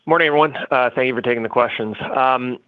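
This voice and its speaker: A man's voice: mid-range voice, fast talker, dry recording. A man speaking as a financial analyst, putting wordy, hedged, conversational questions on an earnings call. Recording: dry, dull phone-call audio.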